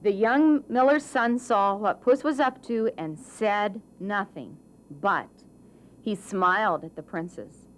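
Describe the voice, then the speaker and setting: A woman storyteller giving an expressive, deliberate scripted narration of a children's fairy tale. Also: mid-range voice, slight background noise.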